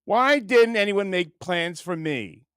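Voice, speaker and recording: A man's voice: sits high, expressive, slow pace, fairly bright tone, smooth, dry recording, studio quality, heavily acted. A man voicing a whiny, entitled puppet comedian in an exaggerated, self-important parody monologue. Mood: indignant, whiny. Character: whiny, entitled, high-voiced puppet comedian, indignant diva.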